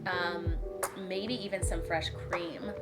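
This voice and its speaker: A woman's voice: on the low side, steady tone, slow, over loud music. A young woman, a guest on a casual food chat, bubbly and laughing as she explains the food.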